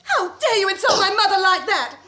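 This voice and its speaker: A woman playing a highly strung, easily wounded young wife in a comic radio play, earnest, plaintive and theatrical. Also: big pitch swings, fairly quick, pushed and bright, heavily acted. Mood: wounded, indignant.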